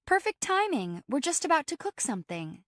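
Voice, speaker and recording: A woman voicing a bubbly, sociable young woman with a bright, upbeat manner in an audiobook. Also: high-pitched, sing-song, fairly quick, dry recording, studio quality, pitched above the performer's own voice. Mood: cheerful.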